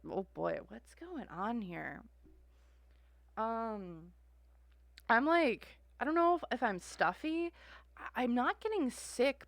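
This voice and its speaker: A woman's voice: medium pitch, fairly bright tone. A woman doing a casual tarot reading and talking in a loose, rambling way. She fills her sentences with "like" and "uh." Her voice is dry and close, with no room echo. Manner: rambling, heavy use of "like" and "uh". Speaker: tarot reader.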